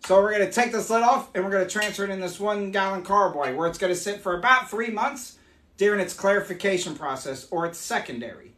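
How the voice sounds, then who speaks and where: A man in his forties, hoarse from losing his voice, chatting upbeat as he demonstrates mead making live in a small room. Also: high voice, animated, a little fast, fairly bright tone, roomy sound. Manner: chatty, upbeat, explains as he works. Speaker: meadery owner hosting a live stream. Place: small home meadery room, indoors.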